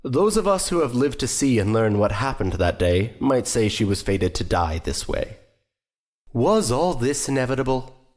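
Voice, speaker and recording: A man's voice: mid-range voice, big pitch swings, moderate pace, darkish tone, close to an everyday voice. A man playing a grave, theatrical narrator in an audio drama. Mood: portentous. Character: grave, theatrical narrator of a fateful true story.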